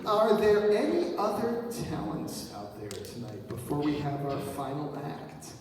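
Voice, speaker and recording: A man's voice: on the high side, warm tone, slight background noise. A man emceeing a church talent show, chatty and self-deprecating, sometimes tripping over his words. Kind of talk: casual stage announcing. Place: church hall on stage. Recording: echoey hall, mic far off.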